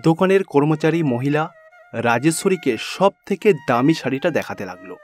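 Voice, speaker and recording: Casting: male storyteller, higher-pitched voice, scripted cartoon folktale narration over music. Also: animated, normal speed.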